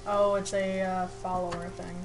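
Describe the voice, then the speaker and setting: A woman's voice: on the low side, little pitch movement, moderate pace, over music. A woman chatting casually and expressively as she plays a game on a stream. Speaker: gaming streamer. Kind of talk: casual co-op let's play chat.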